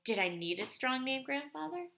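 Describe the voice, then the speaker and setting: A woman's voice: sits high, big pitch swings, moderate pace, vocal fry, bright, forceful tone, roomy sound, heavily acted. A woman voicing an eager, earnest young boy in a storybook read aloud. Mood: earnest, questioning.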